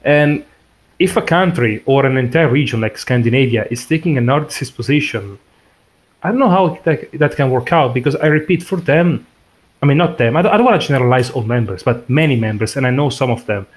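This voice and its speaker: A man on a casual podcast, working through his view out loud in halting bursts with frequent pauses. His voice is a middle-pitched male voice, neither deep nor high. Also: lively intonation.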